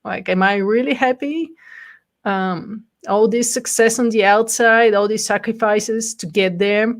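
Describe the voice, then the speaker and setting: A woman's voice: mid-range voice, a little slow. A woman in her forties from Bulgaria, talking candidly as an interview guest, with frequent ums. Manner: frequent ums and light laughter, candid storytelling. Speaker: guest speaker. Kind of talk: casual interview chat.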